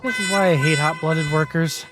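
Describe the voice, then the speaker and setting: A man's voice: a little high, steady tone, normal speed, loud music behind the voice, lightly acted. A man voicing a lazy, blunt senior office worker in a manga story, grumbling and critical in a matter-of-fact way. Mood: blunt, explaining. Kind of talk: manga dialogue voice acting.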